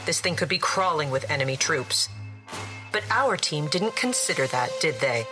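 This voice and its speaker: Older rebel general played by a woman: very low voice, terse authority, dry wit, loud music underneath. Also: lively intonation, normal speed. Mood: stern, dry. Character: wise, elegant older female resistance general.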